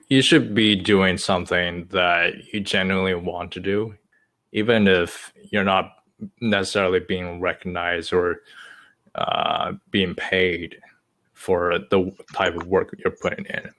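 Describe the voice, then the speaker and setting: Deep-voiced young man. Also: vocal fry, fairly bright tone. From China. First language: Chinese. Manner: measured, halting, pausing often to think. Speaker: podcast co-host. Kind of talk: casual podcast conversation.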